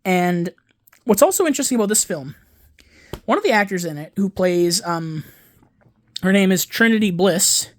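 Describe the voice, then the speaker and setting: A man's voice: sits high, lively intonation, normal speed, long pauses. Man, 20s, casual vlog, rambling, self-correcting, enthusiastic fan. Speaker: home video collector and YouTuber. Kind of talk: casual show-and-tell vlog.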